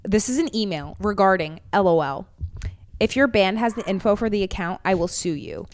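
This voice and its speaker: A woman's voice: medium pitch, lively intonation, moderate pace, a little hiss or hum. A young woman co-hosting a casual podcast, telling stories and reading messages aloud in a mocking, dramatic way. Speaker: podcast co-host. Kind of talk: casual chat, reading ex's emails aloud.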